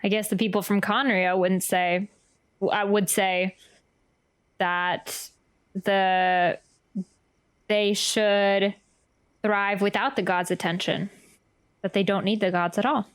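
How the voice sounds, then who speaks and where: A woman's voice: mid-range voice, steady tone, takes it slowly, long pauses, smooth, dry recording. A woman streaming a game, reacting in casual, chatty, exclamatory commentary.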